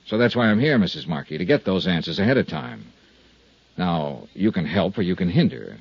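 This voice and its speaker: A shrewd American insurance investigator from a 1950s radio drama. His voice is low and a little rough, with lively swings as he probes in a clipped, coolly polite way. The sound is an old, muffled broadcast. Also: fairly quick, dry recording, full character voice. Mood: firm. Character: tough, shrewd freelance male insurance investigator. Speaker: radio drama lead actor. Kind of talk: 1950s radio detective acting, narration and dialogue.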